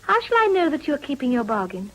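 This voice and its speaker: A composed young society wife in a period radio drama. Her voice sits fairly high. She is refined and polite, with a guarded restraint in how she speaks. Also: very animated, fast, poor audio. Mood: guarded, resolute.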